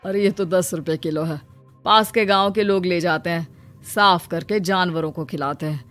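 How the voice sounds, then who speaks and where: A woman's voice: on the low side, moderate pace, fairly bright tone, soft background music, voice pushed down, lightly acted. Woman playing an obliging, chatty market seller. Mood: matter-of-fact. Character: obliging, chatty male village vegetable and grain seller. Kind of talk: Hindi cartoon moral story acting.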